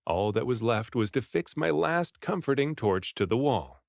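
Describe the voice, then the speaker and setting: Male audiobook narrator, highly varied pitch, dramatic delivery, very dry studio-like sound. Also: normal speed, vocal fry, no room sound. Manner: dramatic, animated delivery with acted dialogue. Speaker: read-aloud narrator and voice actor. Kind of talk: expressive first-person audiobook storytelling.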